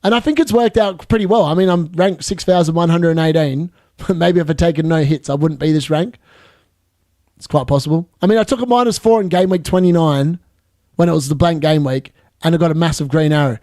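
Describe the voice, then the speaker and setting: A man in his late thirties hosting a live fantasy football stream, chatty and excitable, laughing often as he answers viewer questions. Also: a little high, a little fast, no room sound, studio quality. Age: 30s.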